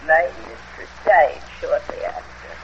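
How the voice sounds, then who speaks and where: An actress playing a frail, dying old woman servant in a radio horror drama, quavering, halting and anguished. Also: deep voice, animated, vocal fry, heavily acted. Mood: haunted, sorrowful. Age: older. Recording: muffled, hissy old radio drama recording.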